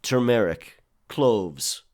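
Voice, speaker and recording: A man's voice: fairly low pitch, animated, extremely slow, a little mellow. A man playing a terse, watchful, sceptical pirate in an audio drama, with short questioning lines. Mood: dry, impatient. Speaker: voice actor in a full-cast audio drama.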